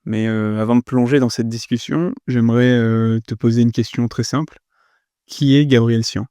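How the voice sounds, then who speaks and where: Mellow-voiced male podcast host. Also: mid-range voice, steady tone, normal speed, clean tone, no room sound, studio quality. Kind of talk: scripted introduction and interview questions.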